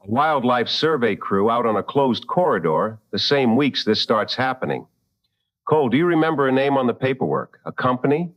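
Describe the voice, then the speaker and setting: A man playing a serious, probing late-night radio host in a radio drama, speaking in deliberate, measured dramatic phrases. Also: medium pitch, very animated, dull audio, close to an everyday voice. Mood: calm, probing.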